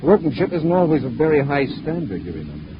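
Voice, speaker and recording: An actor playing an assured, authoritative space patrol commander in a 1950s radio adventure. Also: male voice, a little high, sing-song. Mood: businesslike. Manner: brisk, assured. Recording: dull, noisy 1950s radio recording.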